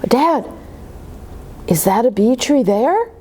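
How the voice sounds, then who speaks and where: A woman's voice: a little high, big pitch swings, slow pace, warm tone, a little hiss or hum. A woman voicing an eager, curious little boy bear in a children's storybook, chirpy and full of questions. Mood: eager questioning.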